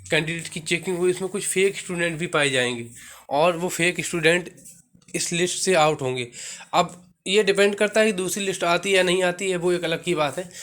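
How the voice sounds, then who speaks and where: Casting: male coaching presenter, fairly high pitch, sharp bright tone, instructive and informal. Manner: didactic, checks in with "theek hai". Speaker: exam-coaching video host. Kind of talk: informal explainer of exam cut-off figures.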